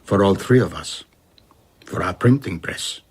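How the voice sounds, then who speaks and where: An actor playing a reflective, middle-aged traveller in a radio drama, recounting his past in a husky, rueful, measured way. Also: low voice, lively intonation, heavily acted. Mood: sombre.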